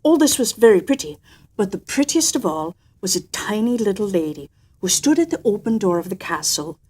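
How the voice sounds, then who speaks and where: A woman storyteller with a mid-pitched voice narrates a children's tale. Her delivery is theatrical and expressive, swelling for emphasis and dropping softer at the ends of phrases. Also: a little fast, husky. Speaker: storyteller and host. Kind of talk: expressive storybook narration with an in-character personal anecdote.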